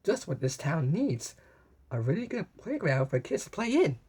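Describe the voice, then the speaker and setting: An upbeat cartoon policewoman, helpful and proud, as a woman storyteller puts her on. The voice is lifted high and has a slightly husky edge, with an exclamatory delivery. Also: very animated, normal speed, mellow, full character voice. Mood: enthusiastic. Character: helpful, upbeat female toy-town police officer.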